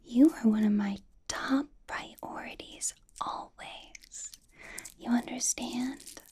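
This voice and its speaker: A possessive female vampire boss, played by a woman in an ASMR roleplay, whispering slowly and very breathily. She coaxes with condescending endearments, doting and controlling, with a sweet menace under the soft, mellow tone. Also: a little high, steady tone, breathy edge, heavily acted. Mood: sweetly doting. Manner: slow, coaxing, condescending endearments.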